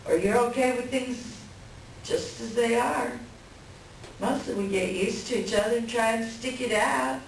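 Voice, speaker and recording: A woman's voice: fairly high pitch, a little slow. A woman playing a world-weary, blunt mother fed up with her husband in a folksy play reading. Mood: jaded, resigned. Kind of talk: staged play reading, conversational dialogue. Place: library reader's theater reading. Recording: echoey room from far off, faint noise.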